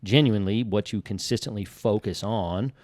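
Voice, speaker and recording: A man's voice: fairly low pitch, moderate pace, darkish tone, dry recording. A man in his forties hosting a casual podcast, joking around and hedging his points as he talks. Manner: jokey, hedging, trails off and restarts. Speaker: podcast host. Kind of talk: casual chat.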